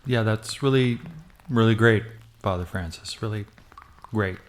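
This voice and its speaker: A man playing a sarcastic, long-suffering cave explorer with deadpan delivery in a comic audio drama. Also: lowish voice, a little slow, natural voice. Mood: weary sarcasm.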